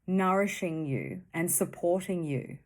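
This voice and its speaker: A woman guides a meditation in a fairly low voice. Her delivery is very slow and gentle, with short phrases set apart by long, soothing pauses. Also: expressive.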